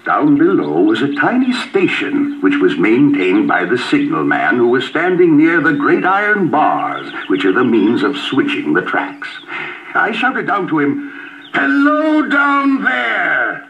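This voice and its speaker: A man's voice: mid-range voice, wildly swooping pitch, measured pace, highs cut off, a little hiss or hum. A man narrating a ghost story in a theatrical, suspenseful way, from a vinyl record. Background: music.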